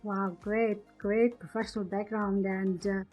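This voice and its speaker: A woman's voice: medium pitch, little pitch movement, measured pace, a little mellow, soft background music. A woman hosting an interview, introducing her guest and asking questions. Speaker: interview host.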